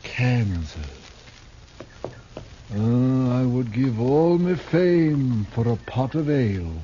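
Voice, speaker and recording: An actor playing a dazed Eastern wanderer who declaims grandly in archaic phrases, in 1950s radio drama style. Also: male voice, mid-range voice, takes it slowly, dark tone, natural voice. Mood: dazed, weary. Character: dazed, florid Eastern wanderer quoting Shakespeare, theatrical and grand. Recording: muffled, hissy vintage radio recording.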